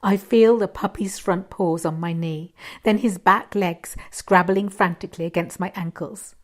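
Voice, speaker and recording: A woman narrates a first-person short story in a slightly low voice. Her reading is expressive, shaped by dramatic pauses and hushed asides.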